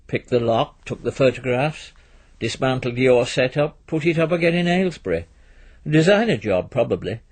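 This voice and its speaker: Man voicing a slangy, husky, laid-back young guy. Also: medium pitch, lively intonation, moderate pace. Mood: breezy, knowing. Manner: casual, slangy, hesitant fillers.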